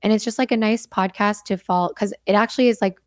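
A woman's voice: medium pitch, fairly even intonation, quick pace, dry recording, studio quality. A young woman chatting earnestly as a podcast guest, laughing easily as she talks about her work. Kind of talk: casual interview chat.

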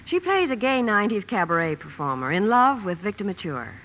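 A woman's voice: mid-range voice, sing-song, fairly quick, a bit bright. An American woman giving scripted promotional patter as a 1950s radio Hollywood reporter. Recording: noisy vintage 1950 radio broadcast.

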